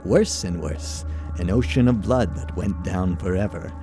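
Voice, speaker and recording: A man voicing a jaded, cynical male police officer who talks with wry, dark sarcasm. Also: sits low, a little slow, over music. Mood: bitter, sardonic. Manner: wry, darkly sarcastic storytelling.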